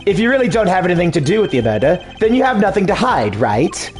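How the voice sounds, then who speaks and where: A man voicing a composed, formal male prosecutor who questions a suspect in precise, measured phrases. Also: high-pitched, sing-song, faint music behind. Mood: probing. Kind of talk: fan dub of a video game.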